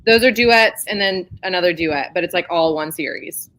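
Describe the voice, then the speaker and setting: A woman's voice: on the low side, normal speed, a bit bright, slight background noise, rough recording. A woman chatting casually and informally about romance novels as a co-host of a book club show.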